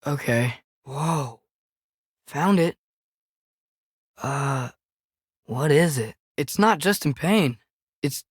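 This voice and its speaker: A man's voice: a little high, animated, very slow, pauses often, slightly rough, dry recording, studio quality, exaggerated voice. Earnest American teenage boy adventurer. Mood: uneasy, thoughtful. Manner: earnest, questioning, youthful casual phrasing. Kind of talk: naturalistic video game character acting.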